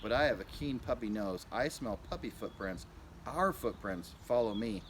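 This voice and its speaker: A man voicing a slow, careful little storybook puppy in a measured, earnest way. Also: on the low side, lively intonation, a little hiss or hum, pitched below the performer's own voice, natural voice. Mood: earnest, reassuring.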